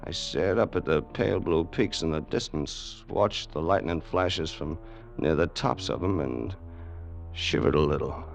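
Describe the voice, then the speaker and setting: An actor playing a loyal, eager crime sidekick in an old radio suspense drama, plain-spoken and deferential. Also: male voice, very deep voice, measured pace, gravelly, full character voice. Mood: tense narrating. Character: loyal, eager male crime sidekick telling his story. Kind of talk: 1950s radio acting, first-person narration and dialogue. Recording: vintage radio drama with music bed.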